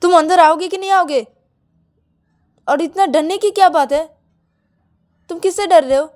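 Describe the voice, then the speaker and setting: Woman acting high-pitched, bossy, impatient young bride. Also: big pitch swings, dark tone. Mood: insistent, puzzled. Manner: demanding, scolding, rapid questioning. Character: bossy, impatient, hot-tempered young bride on wedding night. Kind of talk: acted dialogue in narrated audio story.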